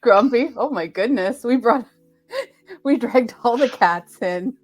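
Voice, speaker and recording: A woman hosting a casual live stream interview, with a chatty, jokey and halting way of talking. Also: fairly high pitch, lively intonation, darkish tone, husky. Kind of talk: casual unscripted chat.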